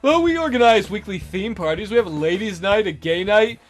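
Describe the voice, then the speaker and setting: A man's voice: high voice, lively intonation, a little fast, soft background music. A man voicing a defensive, jittery male nightclub owner in a visual novel, hesitant and emphatic in his delivery. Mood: reluctant, hesitant.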